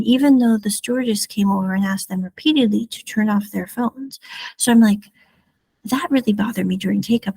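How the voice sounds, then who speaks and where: A woman's voice: medium pitch, vocal fry, darkish tone, slightly rough, no room sound, rough recording. A woman hosting a podcast, telling a travel story in a casual, rambling way, often correcting herself mid-sentence. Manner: rambling, self-correcting, chatty asides. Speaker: podcast host.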